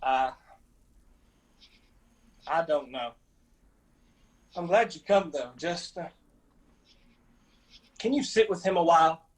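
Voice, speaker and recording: An actor playing a distraught young mountain man in a radio drama, pleading and halting, with an Appalachian accent. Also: on the high side, animated, low-quality recording. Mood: weary, bitter. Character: distraught young nephew at a deathbed.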